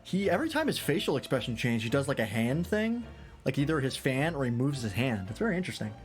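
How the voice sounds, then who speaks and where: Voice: mid-range voice, sing-song, fast talker. A streamer giving casual, joking commentary with plenty of swearing between dialogue lines. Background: game music.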